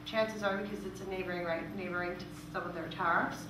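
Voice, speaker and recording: A woman's voice: on the low side, fairly even intonation, quick pace. A woman giving conversational explanations as a presenter taking questions. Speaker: music royalty organisation manager presenting. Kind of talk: informal question and answer at a presentation. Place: meeting room in front of an audience. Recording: echoey room, distant mic, clear background noise.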